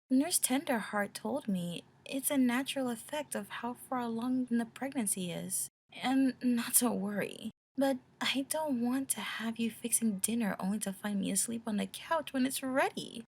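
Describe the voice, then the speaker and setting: Woman acting a breathy, high-voiced affectionate pregnant pony wife. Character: pregnant female pony, cheerful, affectionate, gently fussing wife. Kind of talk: audio story character acting.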